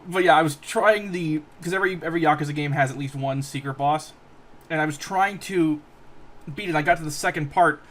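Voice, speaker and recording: Hoarse man with a high voice, laughing. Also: brisk, a bit bright. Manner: laughs often, jokey asides. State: a little hoarse. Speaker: podcast co-host. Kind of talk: casual chat.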